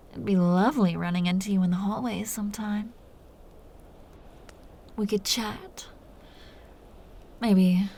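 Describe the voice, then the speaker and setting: Slow, creaky-voiced seductive vampire woman, acted by a woman. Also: mid-range voice. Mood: coaxing. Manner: slow, teasing, breathy half-whispers with soft chuckles. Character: teasing, seductive, dominant female vampire captor. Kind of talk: intimate roleplay audio drama.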